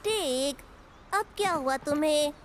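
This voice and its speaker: A woman's voice: sits high, expressive, takes it slowly, noisy background. A woman voicing a brave, determined young male lion cub in a dubbed children's cartoon, urging and encouraging his friend. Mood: confident, eager. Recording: loud music behind.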